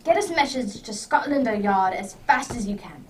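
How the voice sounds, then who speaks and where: A woman playing a brisk, commanding, inquisitive male detective in an amateur radio drama. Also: fairly high pitch, animated, far from the mic, slight background noise, close to an everyday voice, low-quality recording. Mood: decisive.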